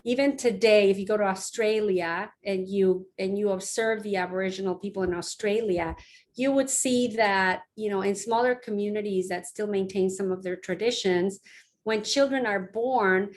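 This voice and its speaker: A woman's voice: mid-range voice, steady tone, normal speed, slight background noise. A middle-aged woman from Mexico, a psychologist giving an online talk to parents, speaking casually with fillers and restarts. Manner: conversational, many fillers and restarts. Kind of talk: lecture-style online talk. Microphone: online talk, close mic.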